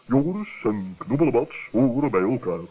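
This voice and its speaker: A man voicing a grave, disapproving owl with a husky voice and ponderous, hemming manner in a children's radio play. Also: medium pitch, lively intonation, moderate pace. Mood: musing. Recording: dull old radio recording.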